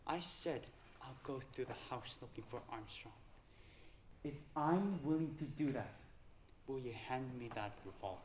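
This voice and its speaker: A man's voice: mid-range voice, expressive, moderate pace, natural voice. An actor playing a suspicious, stubborn ex-policeman in a stage play, speaking in a blunt, distrustful manner. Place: stage performance. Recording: clearly noisy stage recording.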